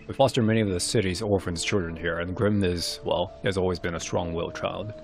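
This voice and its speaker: Casting: courteous, hesitant fantasy mask keeper, low and fast-spoken male performer, light music underneath. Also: steady tone, creaky. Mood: regretful. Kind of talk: narrated fantasy game dialogue.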